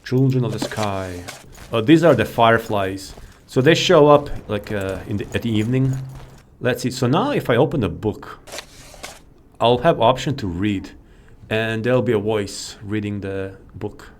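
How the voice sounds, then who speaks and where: A man's voice: fairly low pitch, normal speed, a little hiss or hum. A man giving casual gameplay commentary, rambling and thinking aloud as he hosts. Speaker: video host, gamer.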